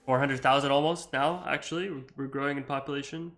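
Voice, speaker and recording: Bright-voiced young man with an American accent. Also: medium pitch, steady tone, quick pace, gravelly. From Iceland. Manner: rambling, full of likes and you-knows. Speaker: gamer and video creator. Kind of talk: casual gameplay commentary.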